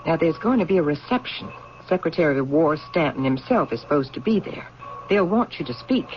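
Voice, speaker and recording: A woman playing a calm, firm army nurse with a measured, coaxing manner in old-time radio drama style. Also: low voice, soft background music. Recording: dull old radio recording.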